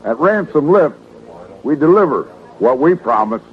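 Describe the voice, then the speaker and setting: Guy reading old radio ad, persuasive, lots of intonation, highish voice, muffled. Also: sing-song, normal speed. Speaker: commercial announcer. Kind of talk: scripted advertising narration. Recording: dull vintage radio recording.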